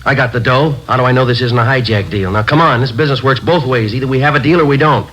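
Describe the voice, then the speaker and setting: An actor playing a tough undercover cop posing as a wary drug buyer, terse and clipped, in a 1950s radio crime drama. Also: male voice, medium pitch, fast talker, fairly bright tone, husky, rough recording. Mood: indignant. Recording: old 1950s radio broadcast recording.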